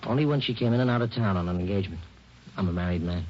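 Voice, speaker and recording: An actor playing a folksy, breezy showbiz booking agent in a 1940s radio drama, talking in clipped slang. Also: male voice, low-pitched, rapid-fire, a little hiss or hum, close to an everyday voice. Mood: casual, chatty. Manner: slangy, informal, clipped phrases. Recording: muffled vintage 1940s radio recording.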